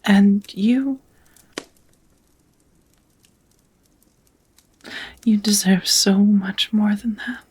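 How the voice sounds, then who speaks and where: A woman voicing a devoted, nervous vampire roommate in an intimate ASMR roleplay, whispering softly in a halting, earnest, tender way. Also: medium pitch, fairly even intonation. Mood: adoring, earnest. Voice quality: soft, breathy whisper.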